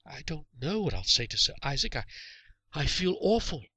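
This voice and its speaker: Guy doing a scared medieval kid from a storybook, high swooping voice, stammers, guilty and pleading. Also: very animated, moderate pace, bright, forceful tone, slightly rough, no room sound, heavily acted. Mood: sheepish. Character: frightened, guilt-ridden medieval boy caught stealing.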